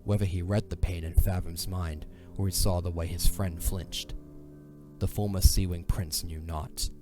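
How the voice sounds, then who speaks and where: Deep-voiced male narrator, solemn and dramatic. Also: steady tone, over music. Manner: solemn, measured storytelling. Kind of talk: dramatic scripted fantasy narration.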